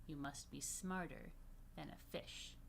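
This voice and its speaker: A woman reading the part of a clever, thoughtful fisherman father in a children's storybook, in a measured, teacherly way. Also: deep voice, fairly even intonation, creaky, noisy background. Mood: wise, instructive.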